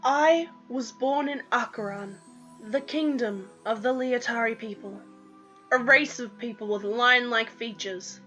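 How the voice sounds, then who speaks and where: A woman's voice: on the high side, measured pace, fairly bright tone. A young woman giving a dramatic first-person reading of a story, on a lo-fi recording with music behind. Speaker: reader of own story.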